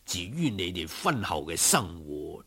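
A man voicing a genial, courteous old gentleman in a told folk story, with husky, unhurried, old-fashioned speech. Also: deep voice, very animated, voice pushed down, exaggerated voice. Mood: pleased, insistent. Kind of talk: storybook character acting.

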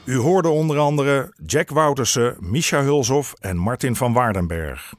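A man's voice: mid-range voice, lively intonation, normal speed, no room sound, faint music behind. A man reading scripted closing credits as a broadcast announcer.

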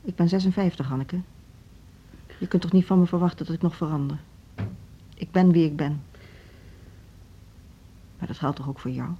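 An actress playing a measured, firm school director in her fifties in a radio drama, deliberate and diplomatic. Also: low voice, breathy edge, dull audio. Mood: hurt, weary. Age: 50s. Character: measured, firm middle-aged female music school director. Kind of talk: Dutch radio drama acting.

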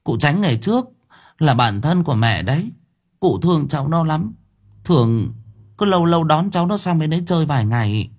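A man voicing a timid middle-aged daughter-in-law who stammers and pleads softly. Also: a little high, big pitch swings, brisk, husky, voice pushed up, exaggerated voice. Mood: pleading.

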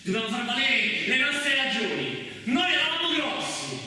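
A man on stage playing angry sacked male workers, exclaiming loudly in aggrieved protest. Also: extremely high pitch, big pitch swings, normal speed, far from the mic, pitched above the performer's own voice, heavily acted. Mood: indignant, proud. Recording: echoey hall from afar, faint noise.

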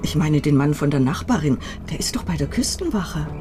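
Elderly woman, wistful, fretful, devoted housewife, questioning tone, radio drama, clear music underneath. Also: sits low, animated, a little fast. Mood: wistful, worried. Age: older. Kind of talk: German radio drama acting.

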